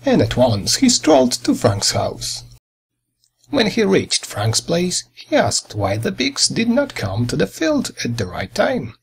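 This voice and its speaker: A man reading a children's fairy tale as a storyteller, with a dramatic, expressive delivery and a husky, gravelly voice. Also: high voice, unhurried.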